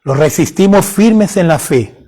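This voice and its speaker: High-voiced fervent male preacher. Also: lively intonation, a little slow, mellow, slightly rough. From Haiti. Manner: fervent, rising to emphatic prayer; repeats phrases for emphasis. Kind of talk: sermon.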